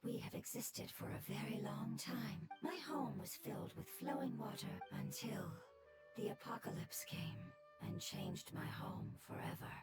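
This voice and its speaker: A woman voicing a strange, ancient creature in a video game, solemn and formal, with a breathy, whispery voice. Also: on the high side, wildly swooping pitch, unhurried, loud music behind the voice. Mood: solemn, mournful. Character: strange ancient creature, grateful survivor of a ruined homeland. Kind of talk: video game character acting.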